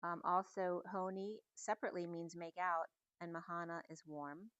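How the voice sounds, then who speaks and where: A middle-aged woman giving a casual, meandering spiritual reading at home, in a soft and musing way. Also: on the low side, fairly even intonation, moderate pace, no echo, muffled recording. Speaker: spiritual reader. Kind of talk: casual channeled message reading.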